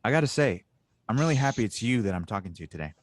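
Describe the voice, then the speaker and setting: A man acts out an older male inventor with a low voice and a close, dry sound. He is genial and a little wistful, talking in an easy, unhurried conversational way, as in an audio drama. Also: animated, dry recording, lightly acted. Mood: friendly, wistful. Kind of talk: audio drama table read.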